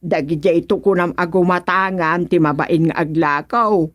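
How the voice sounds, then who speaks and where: A woman's voice: lowish voice, steady tone, normal speed, no echo, clean studio sound, natural voice. A woman acting a chatty, teasing village woman in a radio comedy drama, with an Ilocano accent. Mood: teasing, scolding.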